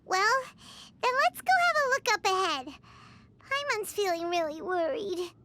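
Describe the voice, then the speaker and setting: A woman's voice: very high voice, big pitch swings, measured pace, pushed and bright, heavily acted. A woman voicing a tiny, anxious female companion sprite who talks about herself in the third person. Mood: excitable.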